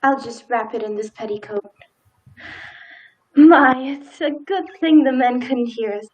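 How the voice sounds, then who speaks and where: Muffled radio drama role: timid, dutiful wife, played by a woman, high soft-toned voice, hesitant and grieving. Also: a little slow. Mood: nervous. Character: timid, dutiful sheriff's wife, haunted by grief. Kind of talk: stage-like radio play acting. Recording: slightly dull, muffled sound.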